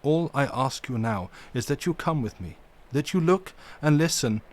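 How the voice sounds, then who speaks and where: A man's voice: on the high side, expressive, voice pushed up, lightly acted. A man doing a grave old foreign professor. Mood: solemn. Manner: solemn, formal, halting phrasing. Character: elderly grave foreign male professor, stern yet tender.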